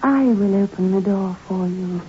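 A woman playing a mysterious, soothing yet commanding island dweller in an old radio horror play, hushed and imperious. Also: mid-range voice, moderate pace, lightly acted. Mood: calm, commanding. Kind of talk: 1960s radio horror acting. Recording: muffled old radio, noisy background hiss.

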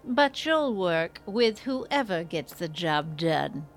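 Drama executive: sardonic, imperious, very drawn-out delivery, slightly low voice. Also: female voice, big pitch swings, faint music behind, exaggerated voice. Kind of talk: scripted drama dialogue.

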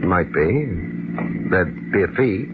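Low-voiced wry American private eye, old radio. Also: male voice, sing-song, slow, heavily acted. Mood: cool, businesslike. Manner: dry, wisecracking, casual. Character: wry, laid-back male private investigator, unflappable wisecracker. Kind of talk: 1950s radio detective drama acting. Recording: tinny, noisy 1950s radio recording.

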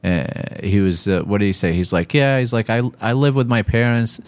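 A man's voice: on the low side, clean tone, no room sound. Man chatting casually, dry sound. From Iraq. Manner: halting, informal, profane. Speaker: podcast guest, stand-up comedian. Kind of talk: casual podcast chat.

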